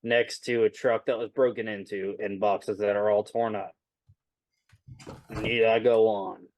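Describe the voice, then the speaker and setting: A man's voice: fairly low pitch, little pitch movement, unhurried, a little mellow, no room sound, low-quality recording. A man playing a tabletop roleplaying game, bantering casually and speaking for his character.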